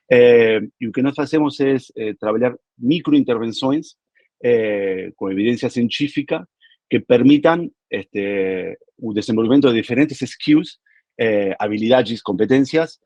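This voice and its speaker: Husky man with an Argentine accent. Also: lowish voice, no room sound. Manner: relaxed and explanatory, with many filler hesitations. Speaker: panelist. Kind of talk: panel talk.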